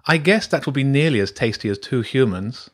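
An eccentric badger priestess from a storybook, played by a man, pitched far up and swinging wildly in tone. She is haughty and theatrical, given to grumbling and exclaiming. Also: expressive, a little fast, natural voice. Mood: peevish, hungry. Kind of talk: character voice in a children's audiobook.

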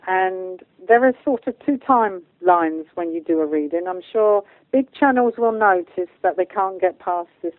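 A woman's voice: on the low side, moderate pace, few pauses, no room sound. A British woman talking as a guest medium in a radio interview, stating things bluntly and with emphasis. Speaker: guest, spiritual medium and channeller. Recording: muffled phone-like line.

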